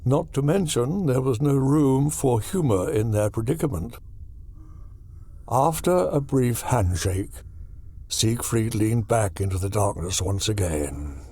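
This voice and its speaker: A man reads scripted horror fiction as a dramatic narrator. His mid-range voice has heavy gravel in it. He takes his time, delivering each phrase deliberately. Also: medium pitch, lively intonation, dark tone. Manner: deliberate, storytelling. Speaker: audiobook narrator.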